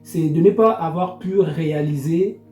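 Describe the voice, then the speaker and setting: A man speaks in a relaxed, fairly high voice, repeating words as he works through his thoughts in a group talk. The room echoes noticeably and faint music plays behind him. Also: measured pace. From Congo. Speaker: podcast panelist. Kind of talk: reflective group discussion on faith. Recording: roomy echo, faint music.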